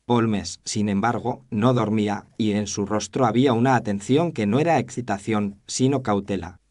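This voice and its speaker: A man's voice: lowish voice, moderate pace, darkish tone, close to an everyday voice. A man narrating as a thoughtful doctor recounting a case in an audio drama, in a reflective, literary manner. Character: thoughtful male doctor narrating his memoir. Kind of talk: scripted narration.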